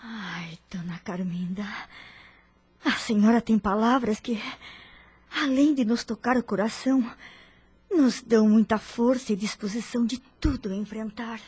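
An actress playing a troubled wife, grateful and moved, with a breathy voice in a radio drama. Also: female voice, a little high, measured pace, a little mellow, dry recording.

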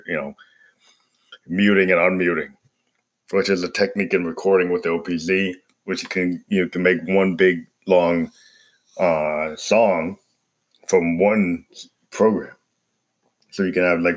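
A man with a very deep voice, ragged and hoarse from a cold, hosts a casual walkthrough of music recording. He speaks in a halting, rambling way, explaining his process as he goes. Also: a bit bright. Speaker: host, musician.

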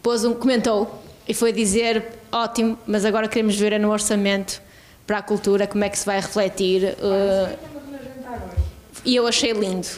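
A woman's voice: mid-range voice, level tone, normal speed. A woman hosting a podcast interview, asking long, loosely built questions. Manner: conversational, loosely phrased questions. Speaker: podcast host.

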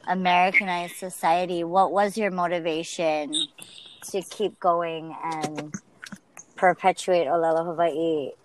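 A woman host asks long, thoughtful questions, feeling her way through them. Her voice sits low for a woman. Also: steady tone, a little slow, a little hiss or hum, rough recording. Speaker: podcast host. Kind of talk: interview questions.

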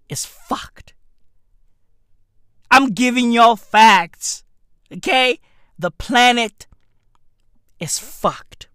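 A comedian from Africa, delivering an emphatic, repetitive rant to camera, heavy with swearing and dropping at times to a whisper. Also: male voice, high voice, pushed and bright, a little hiss or hum. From South Africa. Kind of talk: ranting monologue to camera.

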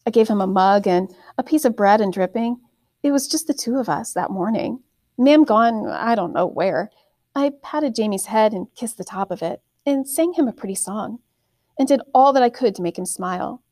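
A woman narrating a first-person story expressively, with deliberate, dramatic phrasing. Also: on the high side, lively intonation, a little mellow, no room sound, studio quality. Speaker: audiobook reader, teacher reading aloud.

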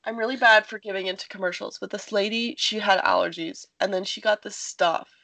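A woman's voice: medium pitch, steady tone, a little fast, vocal fry, sharp tone, dry recording, poor audio. A young woman co-hosting a podcast in casual conversation, talking in an informal, jokey, self-deprecating way. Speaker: podcast co-host. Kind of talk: casual chat.

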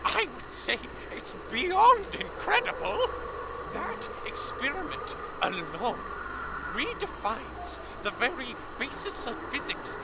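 An actor playing an excitable, formal-speaking male professor who marvels at every finding. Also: extremely high pitch, takes it slowly, heavily acted. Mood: amazed. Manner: exclaiming, wordy and formal. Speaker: scientist on the expedition. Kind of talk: audio drama acting. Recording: noisy.

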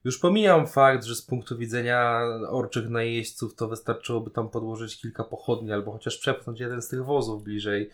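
A man's voice: medium pitch, fairly quick, hardly any pauses. A man with a Polish accent co-hosting a podcast in casual, critical conversation. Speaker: podcast co-host. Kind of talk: casual critical discussion of a series.

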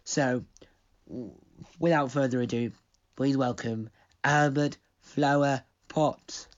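A man's voice: mid-range voice, steady tone, takes it slowly, highs cut off. A young man who owns a radio station, rambling through a pre-recorded intro while losing his voice. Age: young adult. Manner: rambling, digressive.

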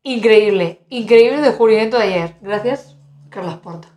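Woman in her thirties from Spain, an expressive podcast co-host whose mid-pitched voice rings through a roomy, echoing space. She talks in a lively, exclamatory way, with laughter breaking through. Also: moderate pace. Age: 30s. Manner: animated, exclamatory, laughs often. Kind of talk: casual chat. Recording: roomy echo.

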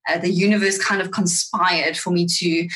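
A woman whose first language is Afrikaans, a marketing guest giving thoughtful, animated answers in an interview. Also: lowish voice, moderate pace.

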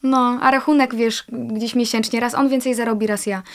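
A woman, an actress guest in a casual interview, talking in a chatty, reflective way with frequent pauses. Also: fairly high pitch, steady tone.

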